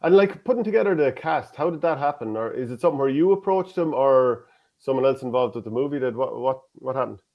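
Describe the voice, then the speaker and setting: A man co-hosting a show, asking relaxed, casual questions of a guest. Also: medium pitch, fast. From Ireland. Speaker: podcast co-host. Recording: slightly dull lo-fi sound.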